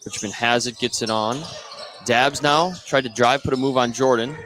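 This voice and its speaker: A man gives live basketball play-by-play in short, clipped phrases. His pitch sits in the middle range, and there is some game noise behind him, with a bouncing ball. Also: fairly even intonation, normal speed, fairly bright tone. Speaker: play-by-play sports commentator.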